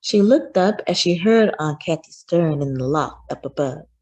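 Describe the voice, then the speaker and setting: A woman reading a children's storybook aloud as a storyteller, with deliberate, expressive delivery. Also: low-pitched. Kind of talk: scripted storybook narration.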